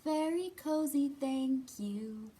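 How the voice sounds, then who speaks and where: A woman's voice: high-pitched, slow pace, warm tone. A woman voicing a small, friendly, cheerful woodland animal puppet in a polite sing-song way for a children's show. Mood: cheerful, cozy.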